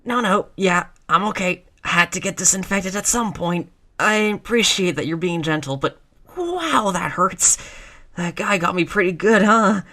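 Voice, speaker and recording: A woman's voice: fairly low pitch, animated, moderate pace, bright, forceful tone. A woman playing a tough, reckless girlfriend in a roleplay audio, brash but affectionate, with casual, sweary bravado. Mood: wincing in pain. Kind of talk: intimate roleplay audio acting.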